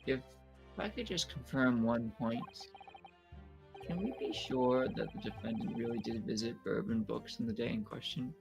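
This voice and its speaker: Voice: medium pitch, normal speed, vocal fry, music in the background, close to an everyday voice, poor audio. A player voicing an earnest, polite young male defense lawyer who speaks hesitantly and formally, in a fan dub of a game.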